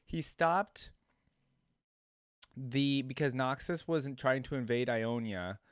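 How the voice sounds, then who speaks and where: A man's voice: mid-range voice, takes it slowly, no room sound, clean studio sound. A man hosting a casual reaction video, musing aloud with lots of fillers and repeating lines back to himself.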